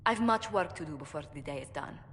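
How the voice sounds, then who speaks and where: Poised courtly diplomat in echoing hall. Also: female voice, low-pitched, expressive, fairly bright tone, slight background noise. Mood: polite. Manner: formal, diplomatic, measured. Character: poised, courtly woman ambassador, tactful and shrewd. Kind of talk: video game cutscene acting.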